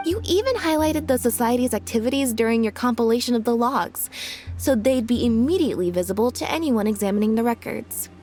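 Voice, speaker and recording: A woman playing a calm, deductive young detective in a video game, speaking in measured, articulate and deliberate exposition. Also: on the high side, close to an everyday voice. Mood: coolly accusing. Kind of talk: scripted video game dialogue. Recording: music behind the voice.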